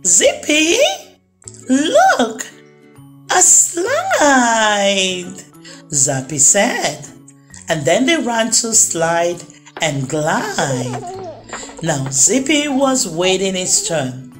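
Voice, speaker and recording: A woman's voice: on the low side, sing-song, drawn-out words. A woman narrating a children's story expressively, drawing out words for emphasis. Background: music.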